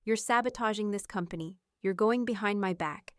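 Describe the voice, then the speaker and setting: A woman's voice: medium pitch, moderate pace, dry recording, clean studio sound, natural voice. A woman voicing an angry male boss who speaks sharply and suspiciously. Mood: accusing. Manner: sharp, accusing.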